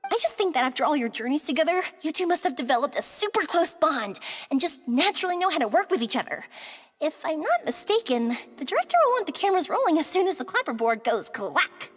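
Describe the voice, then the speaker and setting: A woman voicing a bubbly, enthusiastic young fireworks maker in an anime-style video game, perky, friendly and expressive. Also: high-pitched, fast, faint music behind. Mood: warm, excited.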